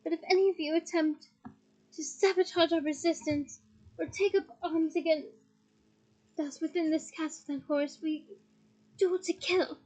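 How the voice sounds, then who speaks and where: A woman playing a stern elderly witch who gives clipped commands. The voice sits high for a woman. It comes from a storybook reading. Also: steady tone, moderate pace, long pauses, dark tone, poor audio.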